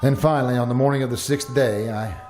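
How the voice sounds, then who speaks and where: A man's voice: mid-range voice, fairly even intonation, fast, natural voice. A man playing an anxious plague survivor who narrates his ordeal in an old-time radio thriller, performed live. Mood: grave narration. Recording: live stage recording with music.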